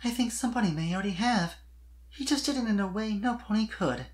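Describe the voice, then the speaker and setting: A performer voicing a sweet, shy but earnest young female pony in a fan fiction reading, soft-spoken and tentative. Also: medium pitch, animated, quick pace, voice pushed up, lightly acted. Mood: tender, assured.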